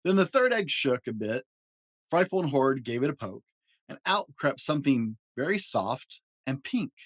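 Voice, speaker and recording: A man reads a picture book aloud in an expressive storytelling style. His pitch moves around freely for drama, and the sound is dry, with little room in it. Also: moderate pace, no room sound. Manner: animated, dramatic delivery. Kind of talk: expressive children's story narration.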